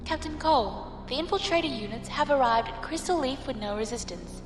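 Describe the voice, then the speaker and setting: A woman's voice: high voice, expressive, fairly quick, over music. An actress playing an obedient female gunner who speaks formally and deferentially to her villain master, with echoey reverb. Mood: submissive. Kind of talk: audio drama acting. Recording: echoey, long reverb, distant.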